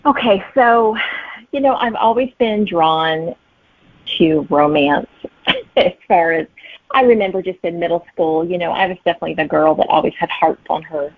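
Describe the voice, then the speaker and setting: Woman, middle-aged, chatty anecdotal guest, slightly raspy, dry, narrow telephone-style audio. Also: on the low side, moderate pace, no room sound. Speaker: guest, romantic suspense author. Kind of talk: chatty interview storytelling. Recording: thin band-limited phone-like line.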